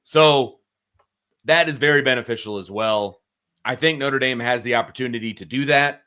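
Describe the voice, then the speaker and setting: A man's voice: medium pitch, normal speed, sharp tone, clear, resonant tone. An American man co-hosting a sports talk show, giving casual analysis and informally talking through his reasoning.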